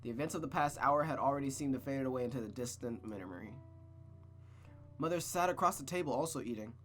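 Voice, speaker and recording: Bright-voiced man reading a story aloud. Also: medium pitch, fast, faint music behind. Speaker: streamer reading a visual novel aloud. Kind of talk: storybook-like narration with casual asides.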